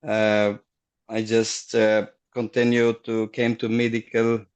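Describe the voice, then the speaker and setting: A middle-aged man, a guest on a video call, telling his story haltingly and searching for words. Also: fairly low pitch, completely flat delivery, smooth. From Afghanistan. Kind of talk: casual personal story.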